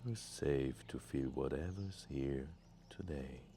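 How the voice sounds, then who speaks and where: A man gently leading a guided meditation in a soothing, deliberate way with long pauses. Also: rumbling low. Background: music.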